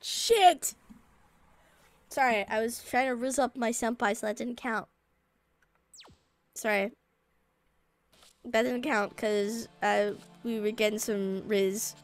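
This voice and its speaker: A young woman streaming a game while sick, her voice raspy, swearing, joking with chat and singing la-la to herself. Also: fairly high pitch, slow, long pauses. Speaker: VTuber streamer. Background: faint game music.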